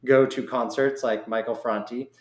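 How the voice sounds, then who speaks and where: Podcast host: American man, mid-pitched, chatty and enthusiastic, informal interviewing style, noticeable room echo. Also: fairly even intonation, normal speed. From Oregon, United States. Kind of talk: casual interview chat. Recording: echoey, roomy sound.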